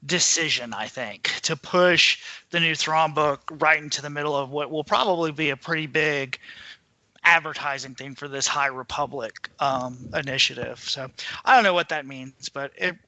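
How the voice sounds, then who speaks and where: A man's voice: fairly high pitch, moderate pace, pushed and bright, no echo. A man co-hosting a podcast, giving an informal, casual news rundown with some hedging. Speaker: podcast co-host.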